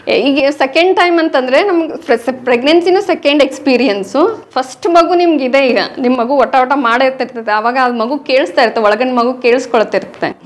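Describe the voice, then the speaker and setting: Woman, high voice, North Karnataka accent, patient, teacherly, explaining. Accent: North Karnataka Kannada. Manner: patient, teacherly, mixing in English words. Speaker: interview guest, prenatal course teacher. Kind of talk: explanatory interview talk.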